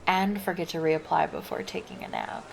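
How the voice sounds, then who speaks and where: A woman playing a gravelly, laid-back flirty lifeguard. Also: on the low side, steady tone, moderate pace, background noise. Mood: easygoing. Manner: casual, teasing, easygoing chatter. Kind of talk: ASMR roleplay.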